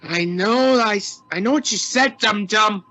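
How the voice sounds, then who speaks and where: A man's voice: sits high, a little slow, sharp tone, faint music behind. An actor playing a bossy, nervous and exasperated expedition crewman in a sci-fi radio play.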